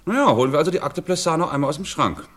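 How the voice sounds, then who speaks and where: An actor playing a gruff, irritable middle-aged police commissioner in a radio crime drama, curt and sardonic. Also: male voice, fairly high pitch, animated, racing, a bit bright. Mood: grudging.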